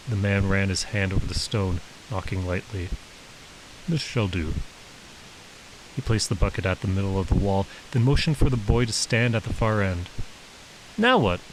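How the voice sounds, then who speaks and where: A man reading his own story as an author, in measured, descriptive scripted narration. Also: fairly low pitch. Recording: hissy background noise.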